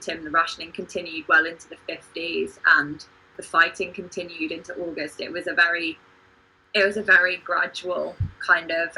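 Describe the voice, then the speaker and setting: A woman's voice: lowish voice, vocal fry, pushed and bright, slightly rough, rough recording. A woman talking informally in a panel discussion, explaining points and putting questions to the group. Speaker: theatre company performer leading discussion.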